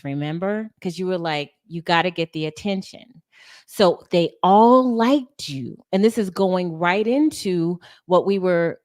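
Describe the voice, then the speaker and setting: A woman hosting a livestream conversation, blunt and animated, cutting in to push back on her guest. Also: on the low side, dry recording, clean studio sound. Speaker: livestream host.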